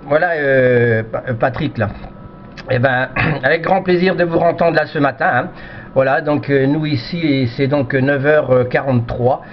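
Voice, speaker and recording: A man's voice: mid-range voice, steady tone, measured pace. Guy chatting on ham radio, kinda hesitant and repeats himself, polite, dull audio w a bit of noise. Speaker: amateur CB radio operator. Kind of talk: casual radio contact chat.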